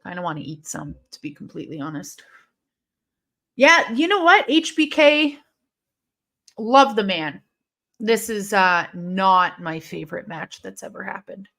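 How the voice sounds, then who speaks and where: A woman from Illinois hosting a live wrestling watch-along, chatting casually and rambling, with intense, sharp S sounds left from a lisp. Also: medium pitch, lively intonation, unhurried, vocal fry, pauses often. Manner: chatty and rambling, heavy use of "like". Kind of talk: casual live-stream chat reading comments.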